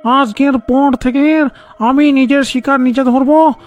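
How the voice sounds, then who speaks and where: A man's voice: extremely high pitch, steady tone, unhurried, faint music behind. A man voicing a defiant, selfish spook in a horror cartoon, making emphatic declarations. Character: defiant, selfish rebel among ghosts and witches.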